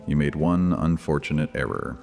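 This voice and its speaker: Male vampire high priest, extremely low voice in a long reverberant hall, taunting and theatrical, for dark fantasy audio drama. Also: fairly even intonation, normal speed, soft background music. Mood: mocking amusement.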